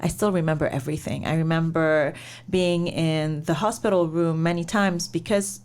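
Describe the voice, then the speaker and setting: A woman from Lebanon, a founder and investor, telling her life story in an interview in a measured, reflective way with many pauses. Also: low voice, fairly even intonation, darkish tone, smooth. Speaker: guest, startup founder and investor.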